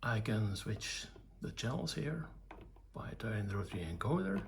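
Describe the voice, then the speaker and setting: An older man giving an informal technical demonstration, explaining things in short, halting, measured phrases. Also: lowish voice, sing-song, creaky, slight background noise. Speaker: electronics hobbyist presenting own project.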